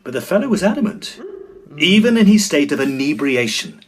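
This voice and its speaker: A man playing an easily flustered Edwardian gentleman ghost hunter, earnest and old-fashioned, in a tongue-in-cheek audio drama. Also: fairly high pitch, very animated, brisk. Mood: dramatic storytelling.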